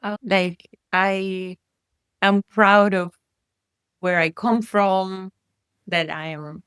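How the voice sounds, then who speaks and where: A woman's voice: mid-range voice, steady tone, very slow, a bit bright, smooth, no room sound, studio quality. A woman from Colombia with a Colombian Spanish accent, a native Spanish speaker talking reflectively as a guest parent, with many restarts. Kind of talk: casual conversation.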